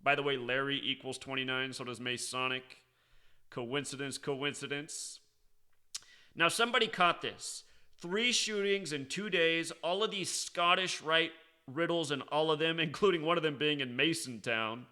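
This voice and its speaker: A man's voice: fairly high pitch, normal speed, sharp tone, clean tone. An American man giving unscripted online commentary in an insistent, sarcastic manner, repeating key words for emphasis. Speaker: online commentator and video host.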